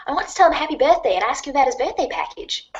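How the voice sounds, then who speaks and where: A bubbly teenage girl acted by a woman speaks in a high, swooping voice. She is quirky and teasing, and leans on her words in a playful audio-drama style. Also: big pitch swings, rapid-fire, highs cut off. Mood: earnest, upbeat. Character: cheerful, quirky teenage girl chatting with a friend. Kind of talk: fan audio drama of a webcomic.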